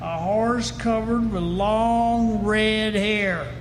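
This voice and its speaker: An actor playing an earnest, plain-spoken frontier rancher with folksy, emphatic delivery in a live western radio drama. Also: male voice, high-pitched, fairly even intonation, drawn-out words. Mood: agitated, insistent. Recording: noisy echoey hall, live stage performance.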